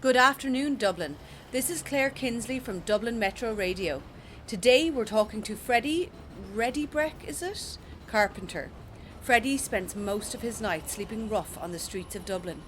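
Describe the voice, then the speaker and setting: Woman playing a radio news presenter, highish voice, formal and probing, faint noise behind. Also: animated, natural voice. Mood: polite, probing. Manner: formal, measured, inquisitive. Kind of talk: radio news and interview acting.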